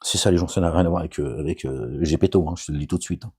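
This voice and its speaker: Middle-aged male commentator: deep dark rasp, meandering preachy chat that keeps checking in with his audience. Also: rapid-fire. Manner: digressive, asks "d'accord?" often, jokey asides. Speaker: religious commentator. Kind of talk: informal Quran commentary, rambling.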